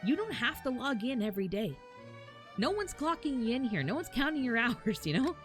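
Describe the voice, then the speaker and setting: A woman's voice: fairly high pitch, fairly quick. A woman livestreaming games, chatting casually and animatedly with her viewers and swearing now and then. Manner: animated, swears casually, chuckles while talking. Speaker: game livestreamer. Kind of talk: casual chat with stream viewers. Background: faint game music and character voice lines.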